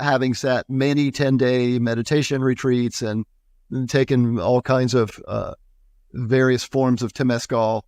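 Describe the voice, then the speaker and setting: Interview guest: male pastor, 60s, thoughtful, halting, sincere delivery, very dry recording. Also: mid-range voice, a little mellow, dry recording. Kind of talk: conversational interview.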